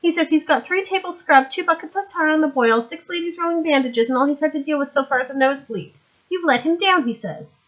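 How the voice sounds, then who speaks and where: Woman voicing a high, chirpy, cheeky young woman. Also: quick pace, a bit bright, lo-fi sound. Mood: brisk, cheeky. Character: chirpy young woman relaying cheeky messages to a watch sergeant.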